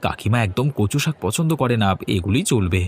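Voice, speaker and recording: A man's voice: lowish voice, lively intonation, brisk, slightly rough. A man playing a meek, good-natured orphaned servant youth in a cartoon, timid, earnest and eager to please. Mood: earnest, pleading. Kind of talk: Bengali cartoon voice acting.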